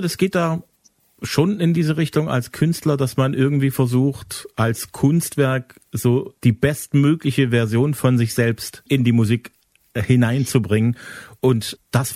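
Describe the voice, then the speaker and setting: Measured male interview host. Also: mid-range voice, warm tone, dry recording, clean studio sound. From eastern Germany. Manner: measured, explanatory. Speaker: podcast host. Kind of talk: interview conversation.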